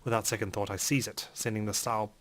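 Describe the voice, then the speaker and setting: Low-voiced man narrating dramatically. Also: little pitch movement, fast talker. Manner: theatrical, in character as an indignant gentleman. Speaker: let's player reading a game aloud. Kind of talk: dramatic first-person story narration.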